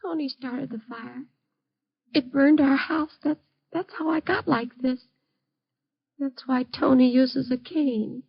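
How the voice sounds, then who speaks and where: An actress playing a frightened, lonely bedridden mother in 1950s radio drama, breathy and fragile, pleading bitterly with agitated repetition. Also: sits high, takes it slowly, long pauses, full character voice. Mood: haunted, distressed. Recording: dull lo-fi old radio recording.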